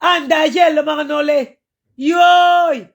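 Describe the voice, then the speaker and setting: A woman's voice: sits high, fairly even intonation, takes it slowly, creaky, highs cut off. A woman from Cameroon, ranting indignantly on a live stream, stammering and chanting invocations. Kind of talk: impassioned live-stream rant.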